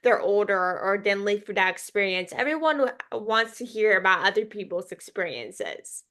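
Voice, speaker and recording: A woman's voice: medium pitch, animated, moderate pace, a bit bright. A young adult woman hosting a podcast interview, talking casually and ramblingly with frequent fillers like "like". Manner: casual, heavy use of "like", rambling. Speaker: podcast host.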